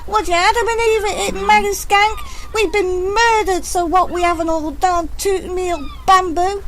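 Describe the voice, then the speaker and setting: A woman's voice: very high voice, steady tone, normal speed, slight background noise, rough recording. A woman playing a melodramatic, slangy Victorian fishwife in a comic audio drama. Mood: wailing, panicked.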